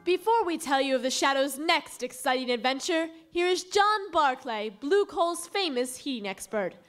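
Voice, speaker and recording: A woman's voice: sits high, lively intonation, moderate pace, a bit bright, soft background music. A woman acting a formal announcer in an old-time radio show. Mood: formal, upbeat.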